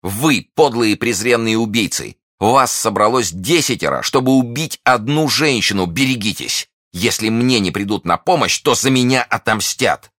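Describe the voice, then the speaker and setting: A man's voice: fairly quick, gravelly, hardly any pauses, dry recording, studio quality, heavily acted. A man voicing a treacherous young noblewoman, cornered and desperate, shrieking and pleading in a raised voice. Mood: furious. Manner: shrill pleading and screaming.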